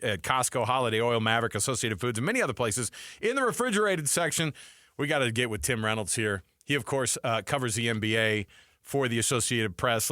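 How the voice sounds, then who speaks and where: A man hosting sports radio in casual, animated talk, emphatic and repeating himself for effect on a close studio mic. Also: medium pitch, no room sound. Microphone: close studio microphone.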